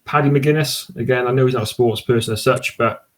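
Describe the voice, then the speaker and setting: A man talks as a podcast guest in an easy, enthusiastic ramble. His voice sits at an ordinary middle pitch, and he often stops and restarts his sentences. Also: steady tone, a little fast. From Cardiff, Wales. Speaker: podcast guest and sports podcast host. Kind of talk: casual podcast conversation.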